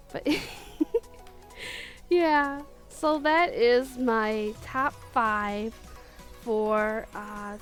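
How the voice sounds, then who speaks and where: A woman casually chatting through her favorite picks, gushing and giggly, often restarting her sentences. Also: fairly high pitch. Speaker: video creator. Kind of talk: casual countdown chat.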